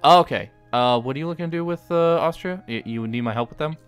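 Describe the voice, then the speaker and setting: A man's voice: on the high side, fast talker, smooth, soft background music. A man streaming a strategy game, talking in a hesitant, polite way with frequent restarts. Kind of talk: casual multiplayer voice chat.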